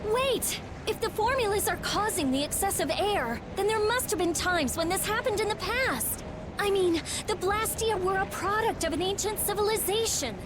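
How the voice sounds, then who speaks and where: A woman's voice: very high-pitched, animated, normal speed, long pauses. A woman voicing an inquisitive, insistent young mage in a video game. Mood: urgent, reasoning. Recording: game dialogue over clear ambient noise.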